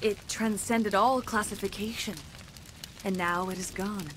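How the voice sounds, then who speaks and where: Game role: solemn alien woman, centuries old played by an actress, formal, measured, remorseful, mid pitch, clear ambience behind. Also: fairly even intonation, a bit bright, a little hiss or hum, lightly acted, poor audio. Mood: solemn wonder. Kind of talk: video game dialogue acting.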